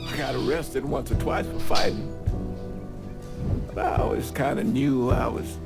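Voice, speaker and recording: An actor playing a weathered priest looking back in a game's documentary-style interview, plain-spoken, wistful and gravelly. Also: male voice, medium pitch, unhurried, over music. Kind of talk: documentary-style interview acting in a video game.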